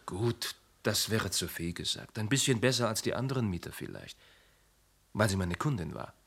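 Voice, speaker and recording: An actor playing an insurance agent in a radio play, precise and wry, a little condescending. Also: male voice, on the low side, expressive, moderate pace, vocal fry, fairly bright tone, breathy edge, full character voice. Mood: explaining. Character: polished, ironic male insurance agent.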